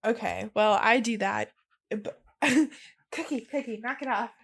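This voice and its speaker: A woman's voice: a little high, measured pace, creaky, slightly rough, no echo. A woman co-hosting a podcast in casual chat, with a playful, informal and exclamatory way of talking. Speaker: podcast co-host.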